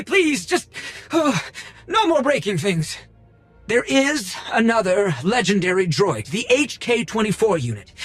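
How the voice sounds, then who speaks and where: A man's voice: high voice, fairly bright tone, no room sound, heavily acted. A man playing a fussy, pompous and nervous collector of rare droids in a theatrical video game style. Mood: embarrassed, nervous. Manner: pompous, theatrical, flustered under pressure. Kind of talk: video game cutscene acting.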